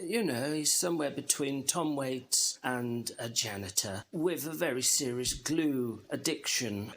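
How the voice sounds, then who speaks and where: Creaky, pompous British thespian. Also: male voice, medium pitch, a little slow, fairly bright tone. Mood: self-important. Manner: pompous, theatrical, verbose. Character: pompous, plummy British male thespian guest. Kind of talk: parody talk show interview.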